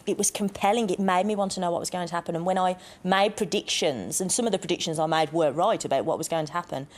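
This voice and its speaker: Teacher woman, enthusiastic, lowish voice. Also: fast. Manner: enthusiastic, hesitant with ums. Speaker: teacher panelist. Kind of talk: panel discussion.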